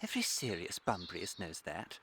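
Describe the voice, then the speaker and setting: A man's voice: deep voice, huge pitch swings, brisk, fairly bright tone, slight background noise, heavily acted. A man with a British accent playing a witty, unrepentant young Victorian dandy in a radio comedy, with a flippant, theatrical manner. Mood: breezy, teasing. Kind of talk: scripted radio comedy acting.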